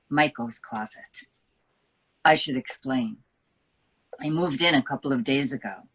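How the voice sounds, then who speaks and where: A woman voicing a troubled, reflective young wife in a literary reading, in a measured, quiet way. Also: low voice, poor audio. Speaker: book editor.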